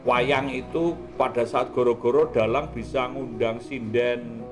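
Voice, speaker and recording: A man's voice: mid-range voice, fairly even intonation, a little slow. Chatty Javanese-accented man over music. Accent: Javanese-accented Indonesian. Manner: chatty, peppers talk with colloquial Javanese words and tag questions. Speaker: host of an art talk show. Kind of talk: casual, rambling discussion.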